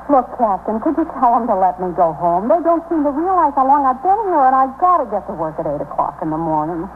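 A woman's voice: fairly high pitch, big pitch swings, a little fast. A woman playing an impatient, indignant young working woman in a 1950s radio drama, blunt and plain-spoken. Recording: old 1950s radio broadcast, lo-fi, hissy.

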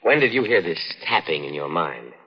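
A man's voice: deep voice, big pitch swings, moderate pace. An actor playing a kindly, assured, avuncular policeman in 1940s radio drama style. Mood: paternal, dismissive. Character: kindly paternal policeman, disbelieving and reassuring. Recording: dull old radio recording.

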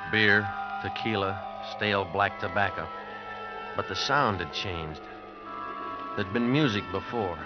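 A man's voice: lowish voice, measured pace, natural voice. An actor playing a hard-luck American drifter who tells his story in a terse, brooding 1940s noir radio style. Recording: dull vintage radio recording. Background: dramatic music.

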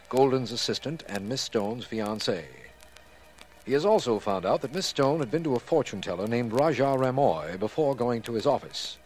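A man's voice: medium pitch, close to an everyday voice, low-quality recording. Man acting an earnest radio district attorney. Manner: formal, measured. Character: earnest district attorney, detective's puzzled friend. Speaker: narrator and sidekick. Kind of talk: 1940s radio drama acting, formal recap.

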